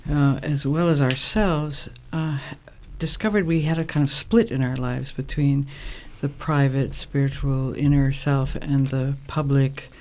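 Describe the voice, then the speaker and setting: A woman of sixty-eight explaining her work as an interview guest, in a measured, halting, thoughtful way. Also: very deep voice, fairly even intonation, a little mellow. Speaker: interview guest, co-founder of a women's program.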